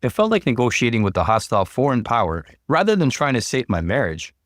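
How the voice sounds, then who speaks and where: Expressive male narrator, dry close sound. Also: mid-range voice, fast, dry recording. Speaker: narrator reading online stories. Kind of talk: scripted dramatic story narration.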